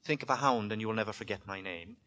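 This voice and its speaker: An actor playing a weary, secretive, quietly menacing gentleman lodger in a formal, halting, repetitive manner, in 1940s radio horror style. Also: lowish voice. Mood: intense, distracted. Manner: formal, halting, repeats his words. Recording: vintage 1940s radio broadcast recording.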